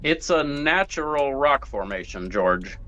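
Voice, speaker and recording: A man's voice: mid-range voice, animated, unhurried, fairly bright tone, muffled recording. An actor playing a bossy, exasperated man leading a tour group in a 1940s-style radio comedy. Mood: wry, bossy.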